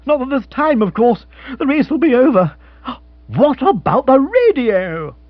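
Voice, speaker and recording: A man reading an audiobook, voicing an excitable, flustered aristocratic Englishman in a high, breathless, fussy voice. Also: measured pace, no echo, highs cut off, exaggerated voice.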